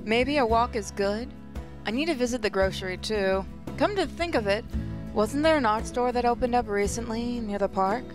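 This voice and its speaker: A woman's voice: a little high, moderate pace, music in the background. A woman reading visual novel narration aloud in a reflective, storytelling way. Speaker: gaming channel host, reader.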